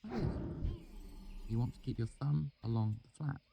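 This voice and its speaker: A man's voice: mid-range voice, sing-song, measured pace, gravelly, music in the background, pitched above the performer's own voice. A man voicing a bold young woman traveler who talks in a matter-of-fact, teasing way.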